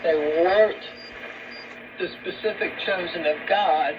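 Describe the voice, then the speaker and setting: A woman's voice: fairly low pitch, a little slow. A woman giving religious talk radio commentary, heard through a shortwave radio. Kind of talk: talk radio commentary with scripture and article reading. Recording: dull, very noisy shortwave radio broadcast.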